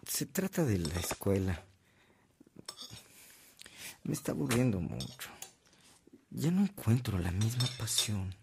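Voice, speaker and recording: An actor playing an earnest, respectful young Mexican man, expressive and often soft-spoken, in a radio drama. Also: lowish voice, takes it slowly, creaky, long pauses, noisy background, full character voice. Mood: hesitant, troubled.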